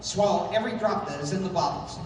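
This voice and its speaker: A man's voice: sits high, fast, slight background noise, lightly acted. Man playing a heroic adventurer doctor in a cavernous echo. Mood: firm, reassuring. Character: heroic adventurer doctor, calm, resolute, commanding leader. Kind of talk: 1930s pulp radio drama acting. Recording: very echoey distant hall with music.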